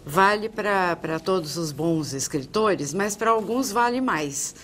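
A woman's voice: on the low side, lively intonation, slow pace, a bit bright, a little hiss or hum. Older woman, articulate interviewer. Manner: articulate, speaks in long flowing sentences. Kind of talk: studio interview panel. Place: television studio. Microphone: lapel mic.

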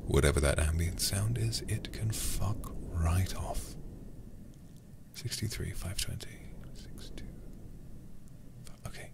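A man livestreaming a horror game in ASMR style, muttering to himself in a hushed, breathy voice with casual swearing. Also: low voice, slow, pauses often. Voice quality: breathy, often whispered.

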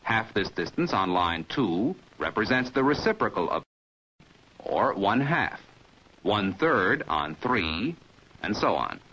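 An American man reading a scripted 1950s training film narration in a measured, precise, didactic manner, as heard on an old film soundtrack. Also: on the low side, very animated, pushed and bright, muffled recording, slight background noise.

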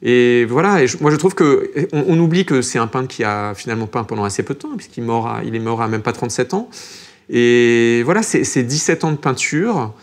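A man's voice: medium pitch, fairly quick. A man speaking as an art critic in a panel debate, circling back and repeating words as he builds his argument. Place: TV studio.

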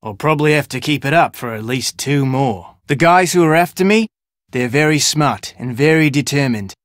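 An actor playing a gruff, wary Australian fugitive in a video game, with brusque, slangy talk. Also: male voice, mid-range voice, expressive, moderate pace, dry recording, studio quality, natural voice. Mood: guarded, confiding. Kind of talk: video game character dialogue.

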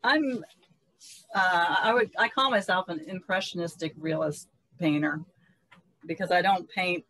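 Creaky-voiced middle-aged woman. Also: on the low side, a bit bright, rough recording. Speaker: painter showing her work. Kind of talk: casual explanation of her paintings. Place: indoor studio room on a video call.

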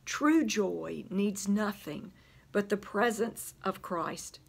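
An older woman with a Southern US accent teaching a church Bible study, her voice still worn from the flu, speaking slowly and deliberately from a library in front of a camera. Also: medium pitch, vocal fry, husky. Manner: slow, deliberate teaching with emphasis on scripture quotes. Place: church library with bookshelves, indoors.

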